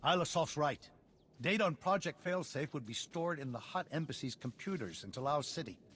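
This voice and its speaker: Animated, earnest male planetary leader. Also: a little high, moderate pace, slight background noise. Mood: earnest, explanatory. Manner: formal, earnest.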